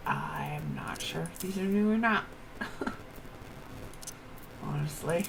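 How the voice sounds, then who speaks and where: A woman giving casual live game commentary in short remarks to herself. Her delivery is extremely slow and broken up, yet lively in pitch. A faint noise sits behind her voice. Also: gravelly. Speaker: game streamer. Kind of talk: casual live gameplay commentary, reading dialogue aloud.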